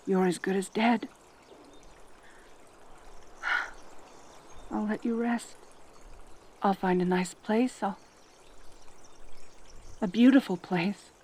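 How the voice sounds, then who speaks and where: A woman playing a lonely, possessive centaur girl in a roleplay audio drama, tender and desperate, with halting, sighing, pleading delivery. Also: mid-range voice, steady tone, dark tone, husky. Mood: sad, resigned.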